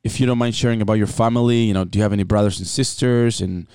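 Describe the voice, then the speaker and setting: Mellow-voiced male podcast host. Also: on the low side, fairly even intonation, quick pace, smooth, no echo, studio quality. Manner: many restarts, hesitant questions. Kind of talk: interview hosting.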